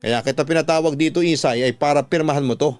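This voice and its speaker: A man's voice: a little high, quick pace, no echo, lightly acted. Stern father for radio drama, performed by a man: commanding, unsympathetic, music bed behind.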